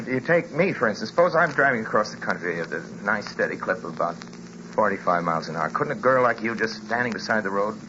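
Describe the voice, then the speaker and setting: A man's voice: lowish voice, natural voice. Man playing a stammering haunted driver in a radio drama. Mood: uneasy, probing. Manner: stammering, halting, rising to panicked shouts. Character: jittery haunted male driver, adult man. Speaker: driver and first-person narrator. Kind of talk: 1940s radio drama acting. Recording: dull hissy old radio broadcast.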